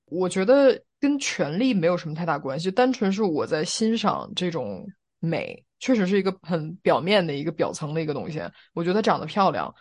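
A woman's voice: lowish voice, very animated, a little fast, darkish tone. Reflective woman chatting on a podcast. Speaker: podcast co-host. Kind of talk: casual opinion chat.

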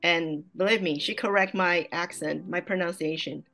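Taiwanese-accented woman, chatty and low. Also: fairly even intonation, normal speed, gravelly, pushed and bright, soft background music. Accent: Taiwanese Mandarin. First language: Mandarin. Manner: chatty, laughs often, self-correcting. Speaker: guest, Mandarin teacher and mother. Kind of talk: casual podcast interview.

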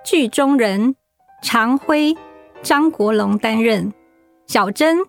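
A woman formally announcing scripted radio drama credits in a deliberate way. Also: a little high, animated, gravelly, faint music behind.

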